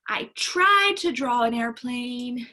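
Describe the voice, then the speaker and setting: A woman teaching young children in a read-aloud lesson, encouraging them with sing-song, drawn-out key words and simple instructions. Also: a little high, animated, bright, forceful tone. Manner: encouraging, praising young learners.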